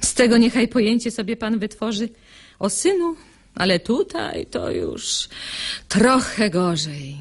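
An actress playing an outspoken, mocking young maidservant in a classic verse comedy radio play, with emphatic delivery. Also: female voice, a little high, big pitch swings, unhurried, full character voice.

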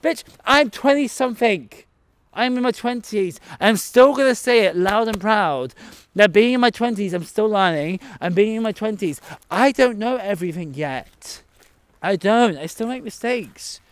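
A man's voice: high-pitched, lively intonation, moderate pace, clear, resonant tone, no echo. A man, sassy and dramatic, vlogging at an outdoor zoo into a phone held close. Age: 20s. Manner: sassy, dramatic, talks to animals and camera. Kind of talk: camp comedic vlog monologue. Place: outdoor zoo, among animal enclosures. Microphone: handheld phone held close.

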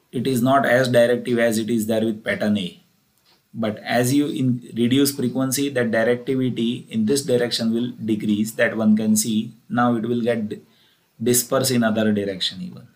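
A man with an Indian accent giving a plain, methodical technical lecture, repeating key phrases as he explains. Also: mid-range voice. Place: voice-over for a screen recording.

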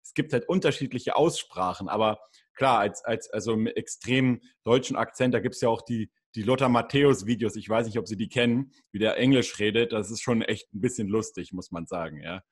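A man's voice: lowish voice, fairly quick, breathy edge. A young man hosting a casual video interview, asking long, rambling questions into a desk microphone in a small room. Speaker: YouTube interview host. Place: small room at home, indoors. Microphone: studio condenser mic on desk.

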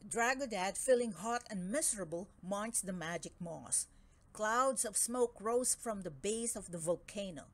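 A woman narrating a children's book aloud in an expressive storytelling style. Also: medium pitch, unhurried.

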